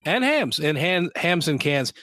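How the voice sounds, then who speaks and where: A man's voice: fairly high pitch, big pitch swings, unhurried, bright, forceful tone, no room sound, clean studio sound. A man co-hosting a beer podcast in casual chat, sounding congested with a nasal scratch. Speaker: podcast co-host.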